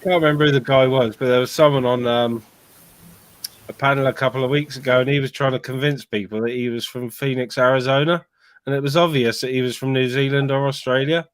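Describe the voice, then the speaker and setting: Average-pitched man, casual and slightly hesitant, chats along. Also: fairly even intonation, brisk. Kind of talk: casual chat.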